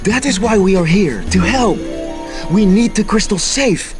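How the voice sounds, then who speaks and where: An actor playing an earnest, nervous royal scholar in a video game, speaking in a diplomatic, hesitant manner. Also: male voice, high voice, expressive, unhurried, over music. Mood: earnest, pleading. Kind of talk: video game cutscene acting.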